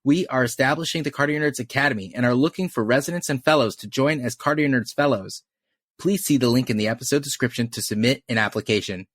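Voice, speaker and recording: A man's voice: medium pitch, fast talker, fairly bright tone, no room sound. Enthusiastic male podcast co-host, dry sound. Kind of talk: scripted podcast host talk.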